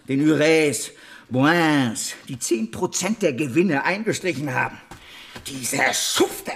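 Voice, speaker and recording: An actor in a German radio drama playing a disgraced, imprisoned financier, ruthless, self-pitying and grandiose, in a halting theatrical way. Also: male voice, on the high side. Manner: theatrical, halting, swinging between pleading and boasting.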